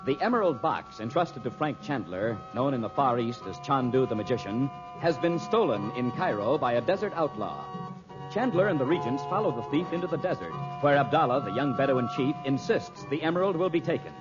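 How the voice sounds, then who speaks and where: A man's voice: medium pitch, very animated, fairly quick, loud music behind the voice. A man with an American accent reading scripts as a dramatic, emphatic 1940s radio announcer. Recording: muffled old radio recording.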